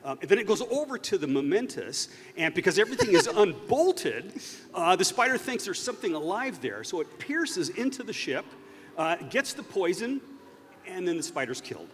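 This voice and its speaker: A man telling a story off the cuff as a panelist at a live fan panel, speaking in a halting, thoughtful way. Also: high-pitched, big pitch swings. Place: large convention stage. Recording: echoey hall PA with faint noise.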